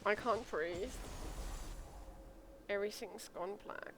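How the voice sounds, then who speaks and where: A woman's voice: mid-range voice, takes it slowly, background noise. A woman streaming a game, chatting casually and reacting expressively and informally to what happens.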